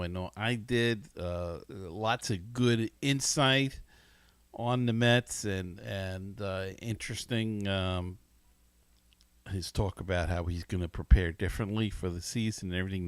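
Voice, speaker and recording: A man's voice: lowish voice, a bit bright, dry recording. A man hosting a sports podcast in a casual, conversational and somewhat hesitant way. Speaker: podcast host.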